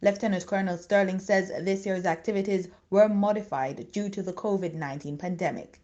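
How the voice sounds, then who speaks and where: A woman's voice: medium pitch, steady tone, fairly quick, a little mellow. Female reporter, mid-range voice, scripted news narration on a slightly lo-fi track. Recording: dull, lo-fi voice track.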